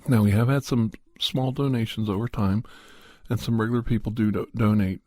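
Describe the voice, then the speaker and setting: A man with a low, mellow, husky voice hosts an ASMR podcast in a soft, casual monologue. He has a slight head cold. He is recorded close and dry on a studio microphone. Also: steady tone, a little fast, dry recording. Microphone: studio condenser microphone, close.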